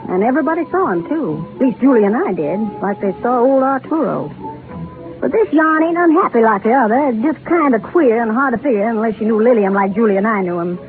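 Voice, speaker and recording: A wistful old Southern carnival boss played by an actress, spinning her yarn in a folksy, rambling way. Her voice sits fairly high over prominent music on a tinny old radio broadcast. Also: lively intonation, brisk, close to an everyday voice. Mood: confiding. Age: older. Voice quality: folksy, rural speech. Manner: rambling, confiding storyteller. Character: folksy aging Southern carnival show owner, wistful, garrulous woman. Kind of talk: 1930s radio drama narration.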